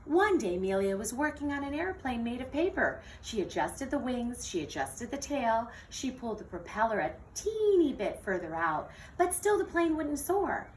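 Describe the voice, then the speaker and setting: A woman teacher reading a children's picture book aloud in an expressive, theatrical storytelling manner. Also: fairly high pitch, normal speed, hardly any pauses. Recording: distant mic with faint noise.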